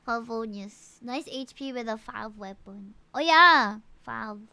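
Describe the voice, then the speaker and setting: A woman live-streaming a game, chatting casually with viewers and reading out stats in an animated way, with frequent exclamations and asides. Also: fairly high pitch, slow pace, vocal fry. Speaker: live streamer reviewing players' game characters.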